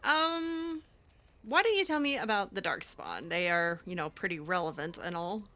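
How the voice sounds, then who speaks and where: A woman improvising casual in-character game roleplay, speaking in a halting, awkward but expressive way. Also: lowish voice. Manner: halting, awkward, expressive, breaks into laughs and sighs. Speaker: roleplay gamer, series host.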